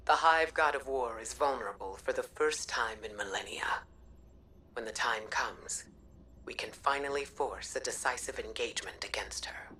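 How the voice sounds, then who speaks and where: A woman voicing a composed, stern commander delivering a formal mission report in a video game, measured and authoritative. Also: extremely low pitch, bright, forceful tone, distant mic, slight background noise, exaggerated voice.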